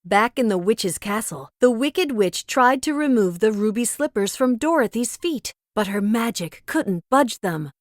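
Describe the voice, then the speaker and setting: A woman's voice: fairly high pitch, animated, measured pace, hardly any pauses, no echo, studio quality. A woman narrating a children's storybook as a scripted read-aloud.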